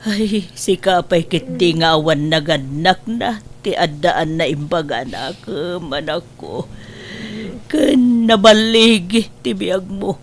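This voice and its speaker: A woman's voice: fairly low pitch, takes it slowly, slight background noise. A woman voicing a poor, frail elderly aunt who sighs and quavers with gratitude. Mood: emotional. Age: older. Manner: quavering, sighing "ay na" often. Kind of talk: audio drama acting.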